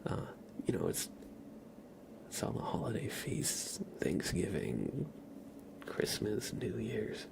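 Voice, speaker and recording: A man streaming ASMR, chatting casually to viewers in a soft, breathy whisper with a slightly stuffy nose. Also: on the low side, huge pitch swings, takes it slowly, noisy background. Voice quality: breathy whisper with a creaky edge. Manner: soft-spoken, rambling, casual.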